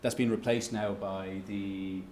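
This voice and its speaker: A man's voice: on the low side, level tone, a little mellow, a little hiss or hum. A man with an Irish accent answering audience questions at an economics lecture, stumbling through restarts and fillers. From Ireland. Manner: halting, many restarts and fillers. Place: lecture room. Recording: echoey lecture room.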